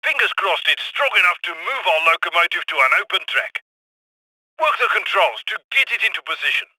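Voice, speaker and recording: Game role: urgent heist coordinator on comms, high-pitched man, very bright and forceful, clipped orders. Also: sing-song, no room sound, exaggerated voice, rough recording. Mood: businesslike. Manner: brisk, clipped, instructing. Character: urgent, scrappy male heist handler giving orders. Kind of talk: video game voice acting.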